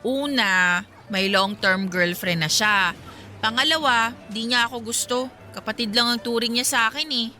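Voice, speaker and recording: A woman's voice: fairly high pitch, moderate pace, pushed and bright, soft background music. A woman playing a single young woman secretly pining for her friend in a Filipino radio drama, speaking in a casual, teasing, colloquial way. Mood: wistful. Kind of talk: Tagalog radio drama dialogue.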